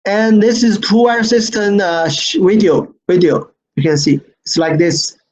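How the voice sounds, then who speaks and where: A man who speaks English as a second language presents an online product training, repeating phrases haltingly and often checking with "right?". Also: sits high, fairly even intonation, a little hiss or hum. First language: not English. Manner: repetitive, halting phrasing with frequent "right?" tags. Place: screen-share online session. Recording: dull lo-fi online webinar audio.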